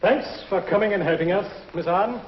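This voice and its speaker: Actor as a brisk authoritative warden, fairly high voice, old TV sci-fi, muffled. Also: male voice, close to an everyday voice. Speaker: prison warden. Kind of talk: 1950s TV drama acting. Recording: dull lo-fi 1950s TV soundtrack.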